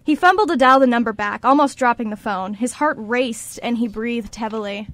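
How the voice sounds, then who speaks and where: Female radio drama narrator, scripted read, slightly high voice, dry sound. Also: normal speed, fairly bright tone, dry recording.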